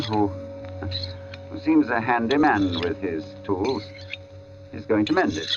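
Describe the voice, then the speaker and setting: An actor playing a brooding, uneasy artist who narrates an eerie tale haltingly and deliberately in an old radio drama. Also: male voice, on the low side. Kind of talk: 1940s radio drama acting, first-person narration. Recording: dull old radio recording, music behind.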